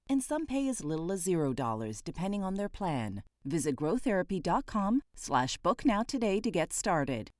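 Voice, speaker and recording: A woman's voice: lowish voice, darkish tone, no room sound, clean studio sound. A woman reading a scripted advertisement in a reassuring way. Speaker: ad announcer. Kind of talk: scripted ad read.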